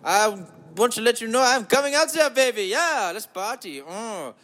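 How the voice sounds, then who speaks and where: Dude doing a goofy flirty german guy, high voice, thick silly accent, creepy and campy, rambly podcast bit. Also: lively intonation, moderate pace, fairly bright tone. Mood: flirty, playful. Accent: exaggerated German.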